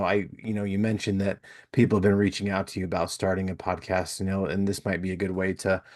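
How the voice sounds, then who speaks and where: A man hosting a casual podcast interview in a folksy, courteous manner, moving between chat and plugs. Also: on the low side. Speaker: podcast host.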